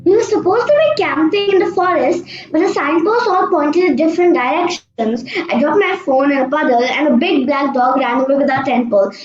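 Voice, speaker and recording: A woman playing a sensible, bossy young sister in a radio play, brisk and scolding. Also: high voice, lively intonation. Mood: polite, flustered. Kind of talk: amateur radio play acting. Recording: muffled, echoey room recording.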